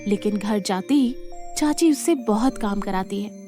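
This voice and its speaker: A woman's voice: mid-range voice, a little slow, mellow, faint music behind. A woman narrating a scripted children's moral story as the storyteller.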